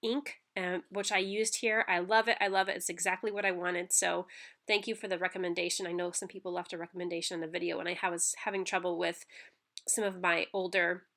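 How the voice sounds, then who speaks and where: A woman's voice: on the low side, little pitch movement, fairly quick, fairly bright tone. A woman with a stuffy nose from a cold, chatting casually through a crafting voice-over with lots of ums and restarts. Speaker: scrapbooking channel host. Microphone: home voice-over mic.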